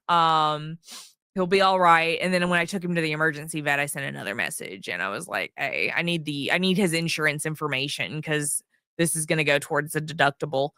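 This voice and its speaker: Woman, hoarse, streamer, casual rambling chat, bright, dry. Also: sits low, moderate pace, no echo. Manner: rambling, many ums and restarts. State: hoarse from yelling.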